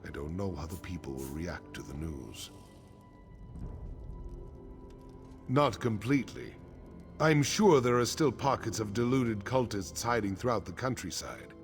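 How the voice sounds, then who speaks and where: A man playing a weary, solemn orc chief in a fantasy game, speaking gravely in a deep, gravelly voice. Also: lively intonation, normal speed, faint music behind. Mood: measured, resolute.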